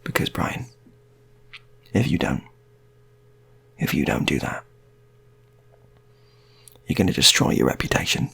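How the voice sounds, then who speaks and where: A man voicing an earnest, patient police hostage negotiator in an improvised story, coaxing and repeating himself haltingly. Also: rumbling low, expressive, gravelly, fairly bright tone, slight background noise, pitched below the performer's own voice, exaggerated voice. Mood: earnest persuasion.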